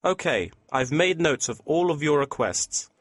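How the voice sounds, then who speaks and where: A man's voice: fairly high pitch, lively intonation, a little slow, fairly bright tone, slightly rough, dry recording, studio quality, full character voice. A man playing a courteous, businesslike printing company employee in a scripted listening-test dialogue. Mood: polite, attentive. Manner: courteous, customer-service formal. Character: polite, businesslike male printing company employee taking a customer's requests.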